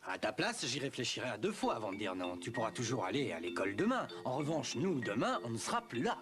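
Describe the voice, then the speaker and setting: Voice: mid-range voice, sing-song, fast talker, over loud music, natural voice. An actor playing a sly, coaxing male puppet-show barker in a children's cartoon, wheedling and persuasive. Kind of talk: dubbed children's cartoon acting.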